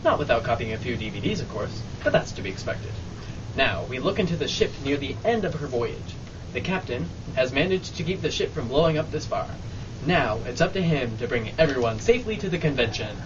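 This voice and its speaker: A man's voice: mid-range voice, animated, a little fast. A man reading scripted narration for an old-time radio drama parody. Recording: distant, muffled, noisy live amateur recording.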